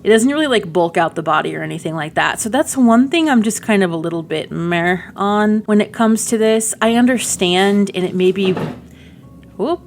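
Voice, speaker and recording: A woman's voice: medium pitch, animated, brisk, few pauses, slight background noise. A woman giving casual game demo commentary, chatty and informal, often thinking aloud as she goes. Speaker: gaming video creator.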